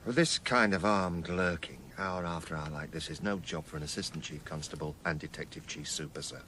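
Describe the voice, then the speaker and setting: Low-voiced, dry police detective. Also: male voice, fairly even intonation, brisk, bright, forceful tone, slight background noise, exaggerated voice. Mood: wry, patient. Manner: dry, understated, wry. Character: dry, sceptical police detective, deferential to his boss. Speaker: actor in radio drama. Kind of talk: understated radio drama acting.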